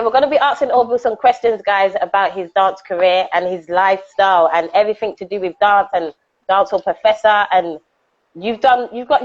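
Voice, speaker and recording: A woman hosting a casual live-stream interview, chatty and excitable, greeting viewers by name. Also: medium pitch. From United Kingdom. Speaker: host. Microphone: phone on a live video call. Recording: dull lo-fi live-stream audio.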